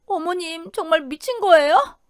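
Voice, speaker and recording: A woman's voice: very high voice, expressive, normal speed, dry recording, studio quality, pitched above the performer's own voice. A woman voicing a wronged pregnant daughter-in-law in an audio drama, pleading and indignantly protesting her innocence.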